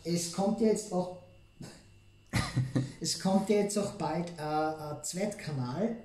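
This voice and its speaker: A man's voice: a little high, expressive, slow pace, a little mellow, roomy sound, slight background noise. A man with an Austrian accent, a co-host doing silly, theatrical comic banter.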